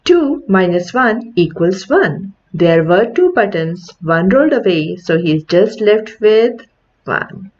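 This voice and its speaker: Woman reading to kids, lively pitch swings. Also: lo-fi sound. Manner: deliberate teacherly delivery with questions and counting. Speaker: children's read-aloud host. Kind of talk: picture book read aloud to young children.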